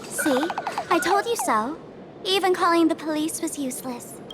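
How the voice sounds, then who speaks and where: A woman voicing a cocky, showy young woman in a video game, with a bright, girlish, taunting delivery. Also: extremely high pitch, expressive, normal speed, background noise. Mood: smug, teasing. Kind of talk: video game character acting. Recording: game music behind.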